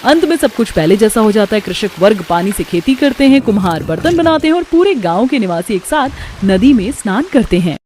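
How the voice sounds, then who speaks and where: A woman's voice: medium pitch, big pitch swings, fairly quick, hardly any pauses, a little mellow, slight background noise. A woman reading scripted narration for a story.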